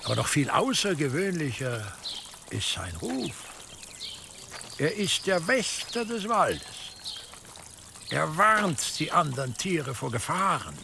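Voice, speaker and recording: Slow, imperious, menacing church lord. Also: male voice, fairly high pitch, sing-song, creaky, noisy background, full character voice. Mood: musing, leading. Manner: deliberate, condescending, insinuating. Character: imperious, slyly menacing male high church dignitary. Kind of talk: German radio drama acting in a medieval setting.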